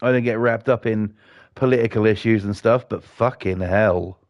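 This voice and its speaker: Middle-aged British streamer, gravelly and slightly croaky, casual, sweary, rambling rant to chat. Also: male voice, fairly low pitch, normal speed, dull audio. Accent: British English. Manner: sweary, ranting, chatty. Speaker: gaming livestreamer. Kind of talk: casual chat while playing a game.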